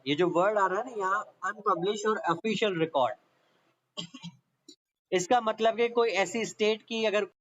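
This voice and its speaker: A man's voice: sits high, a bit bright, lo-fi sound. A man with a Pakistani accent giving an explanatory law lecture, reading aloud and then explaining, repeating points and often checking that listeners follow. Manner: repetitive, checks understanding often.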